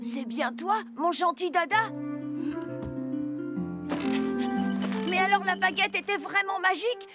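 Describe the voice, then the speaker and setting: High-pitched, earnest little boy Nicolas. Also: normal speed, loud music behind the voice. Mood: amazed. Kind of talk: French cartoon dubbing.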